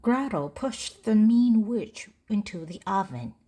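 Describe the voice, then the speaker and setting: Woman, read-aloud storytelling, deliberate phrasing, lively pitch movement, breathy voice, slow pace. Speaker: storybook narrator.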